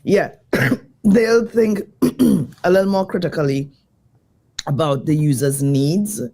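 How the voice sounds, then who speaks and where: A woman design educator, slightly croaky from jet lag, answering interview questions in a lively, thoughtful way. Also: sits low, slow. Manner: lively, thoughtful, many fillers.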